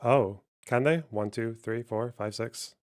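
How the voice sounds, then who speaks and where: A man's voice: medium pitch, measured pace, slight background noise. A man hosting a heated online debate from his stream, combative and pressing, often cutting in to demand answers.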